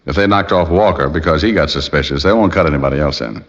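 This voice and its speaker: An actor playing a wisecracking, hard-nosed private detective with a dry, sardonic manner in old-time radio style. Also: male voice, a bass voice, fast. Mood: casual, cool. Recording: dull, muffled old radio recording.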